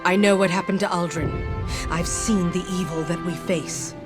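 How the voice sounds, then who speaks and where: An actress playing a solemn, loyal warrior in a video game, speaking in a formal, measured, earnest way. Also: female voice, lowish voice, steady tone, over loud music, lightly acted. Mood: earnest, urgent. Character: solemn, loyal woman warrior sworn to her queen. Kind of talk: dramatic video game cutscene acting.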